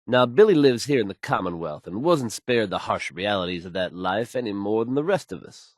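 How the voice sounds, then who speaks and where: An actor playing a confident, smooth-talking radio DJ in a game, delivering fluent and assured announcements. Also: male voice, lowish voice, expressive, a little fast, dry recording, studio quality.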